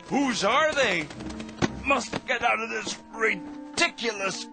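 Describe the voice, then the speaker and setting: An actor playing a pompous, paranoid megalomaniac in a radio drama, with a theatrical, feverishly excitable, ranting manner. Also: male voice, very high voice, takes it slowly, creaky, over music, full character voice. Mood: bewildered, terrified.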